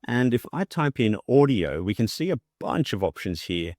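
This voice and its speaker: A man presenting a software tutorial as a casual screen-share walkthrough, talking informally and thinking aloud as he demonstrates. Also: medium pitch, big pitch swings, normal speed, no echo, clean studio sound.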